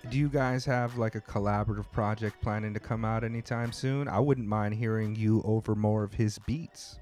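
A man's voice: on the low side, fairly even intonation, normal speed, darkish tone, faint music behind. Laid-back slangy male podcast host. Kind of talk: casual interview chat.

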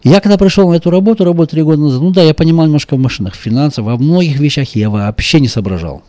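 Fast-talking boastful middle-aged man. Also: a little high, warm tone, no echo. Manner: boastful, joking, rapid storytelling. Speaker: car salesman guest. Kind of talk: casual radio chat.

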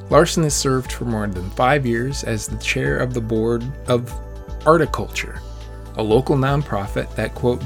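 A man reading a scripted introduction in an even narrating manner, marking quoted passages as he goes. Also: mid-range voice.

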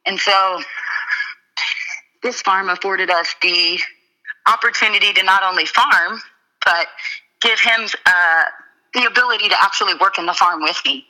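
A woman, the guest, explaining her urban farm in a casual interview, steady with frequent ums. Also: medium pitch, normal speed, pauses often, rough recording.

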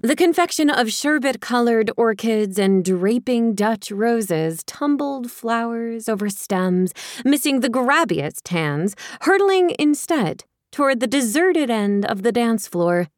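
A woman reading a romantic comedy audiobook with wry, expressive, dramatic phrasing. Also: on the high side, slow pace, no room sound, studio quality. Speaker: audiobook narrator. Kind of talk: scripted fiction narration, romantic comedy.

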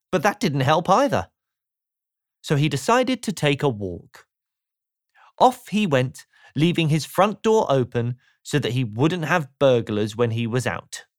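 Lively, unhurried man reading a children's story. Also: a little high, no echo, clean studio sound. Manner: playful, asks the listener rhetorical questions. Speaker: audiobook narrator. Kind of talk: scripted children's storybook narration.

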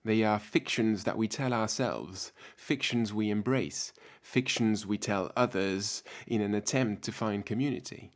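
A man from France, raised speaking French and German, with a French-German accent, delivering a measured, reflective scripted talk as a researcher. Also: on the low side. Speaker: PhD researcher giving a talk. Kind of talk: scripted spoken essay.

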